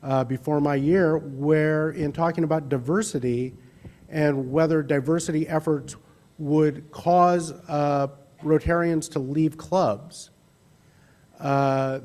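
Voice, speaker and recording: A man's voice: fairly high pitch, a little mellow. Chatty man answering club questions. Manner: chatty, self-deprecating. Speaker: club speaker taking questions. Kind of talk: Q&A at a club meeting.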